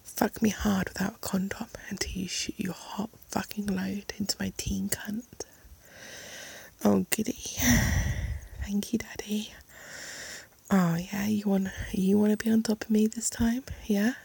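A woman performing an intimate audio roleplay in a breathy, pleading, teasing voice. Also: mid-range voice, little pitch movement, unhurried, darkish tone, dry recording.

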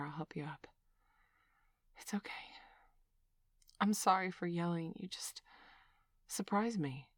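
A woman playing a slow-talking, flustered parent. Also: on the low side, no echo, studio quality. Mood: soothing. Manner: swings between scolding and soothing. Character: flustered protective parent, middle-aged. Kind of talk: scripted audio roleplay.

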